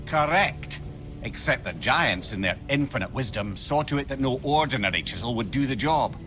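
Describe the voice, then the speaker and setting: A man's voice: medium pitch, animated, normal speed, over music, lightly acted. An actor playing a talkative, wry, learned older man, a reanimated severed head in a video game, with a Scottish accent. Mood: explaining. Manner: chatty, rambling storyteller, wryly humorous. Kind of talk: video game character dialogue. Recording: clear background noise under game dialogue.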